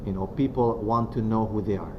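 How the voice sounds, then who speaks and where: A man from Romania giving a religious talk to students as a guest speaker. He is soft-spoken and unhurried, and he pauses often and uses many fillers. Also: on the low side. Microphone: venue microphone. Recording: dull lo-fi sound with clear background noise.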